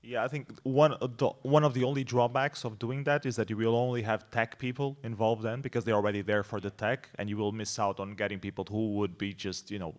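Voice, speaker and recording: A man moderating an informal panel, speaking in a conversational, explanatory way. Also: fairly low pitch. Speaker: roundtable moderator.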